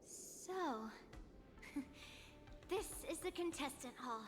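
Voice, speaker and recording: A woman's voice: high-pitched, animated, extremely slow, in a large hall, soft background music, exaggerated voice. A woman voicing a proud, confident young swordswoman who teaches playfully in a video game. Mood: instructive. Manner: proud, instructive. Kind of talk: scripted game voice acting.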